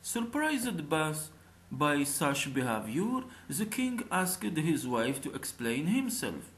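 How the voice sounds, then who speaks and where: Slow, expressive male storyteller. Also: on the high side. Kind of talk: scripted folk tale narration.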